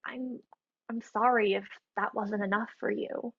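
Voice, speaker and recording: A woman's voice: medium pitch, steady tone, measured pace, creaky, slightly rough, no echo. An actress playing a diplomatic, evasive woman running an audition, conciliatory and hesitant, in a naturalistic play. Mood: apologetic, defensive. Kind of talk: naturalistic stage play acting over video call. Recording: muffled, dry video-call audio.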